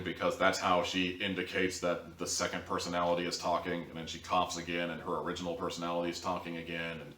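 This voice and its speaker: Male reviewer, lowish voice, casual and bluntly sarcastic delivery, recorded off mic in a roomy space. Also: a bit bright, recorded from a distance. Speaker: online audio drama reviewer. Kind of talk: casual opinionated review commentary. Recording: echoey room, off mic.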